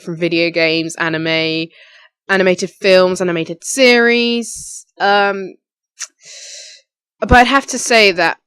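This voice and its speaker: A woman's voice: fairly low pitch, fairly bright tone, clear, resonant tone. A young adult woman, slightly tired, talking in a rambling, informal way as she answers questions about her hobby. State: slightly tired, recently woken. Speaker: amateur voice actor. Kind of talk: casual question-and-answer vlog.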